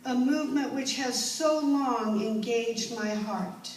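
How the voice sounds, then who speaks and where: A woman's voice: a little high, takes it slowly, a little mellow. A woman playing a devoted, protective teacher in a stage drama, formally reading a letter aloud. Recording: echoey room, distant mic, faint noise.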